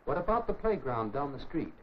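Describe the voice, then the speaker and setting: An actor as a middle-aged American mentor in a 1950s educational film. He is firm and fair, lecturing with varied, lively intonation. The old soundtrack is dull, with some steady noise. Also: mid-range voice, natural voice. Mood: helpful, frank. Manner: measured, lecturing.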